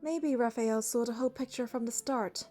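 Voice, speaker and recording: A woman's voice: fairly high pitch, moderate pace, dark tone, faint music behind, natural voice. A woman voicing a young female hunter and bodyguard, narrating a romance game in an earnest, lightly teasing way. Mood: reflective. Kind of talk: scripted first-person game narration and dialogue.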